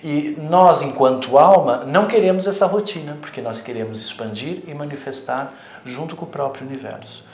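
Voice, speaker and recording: A man's voice: a little high, moderate pace, a little mellow, echoey room. A Brazilian man, a therapist, explaining his spiritual ideas in an interview, reflective and often adding "né". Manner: reflective, explanatory, frequent "né" tags. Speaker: therapist, guest.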